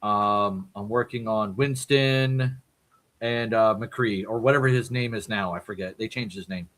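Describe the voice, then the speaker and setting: Podcast guest, male, bright forceful tone, chatty and quick to laugh. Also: on the low side, fairly even intonation. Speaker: guest voice actor. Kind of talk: casual podcast chat. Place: home streaming setup.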